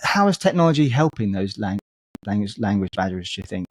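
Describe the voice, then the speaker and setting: A man's voice: lowish voice, very animated, a little fast, no echo. A man hosting a podcast interview, asking questions hesitantly, stumbling and repeating words. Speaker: podcast host.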